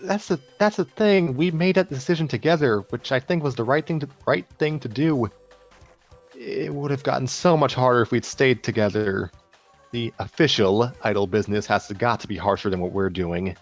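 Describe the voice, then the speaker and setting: Man playing a wistful young woman, halting delivery. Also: medium pitch, soft background music, pitched above the performer's own voice, lightly acted. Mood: regretful. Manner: halting, repeats and restarts lines. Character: wistful, self-doubting young woman ex-idol running family shop. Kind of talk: dramatic fan fiction reading.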